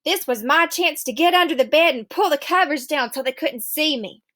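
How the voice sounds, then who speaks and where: A woman reading a children's novel aloud as an expressive storybook narrator. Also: high-pitched, moderate pace.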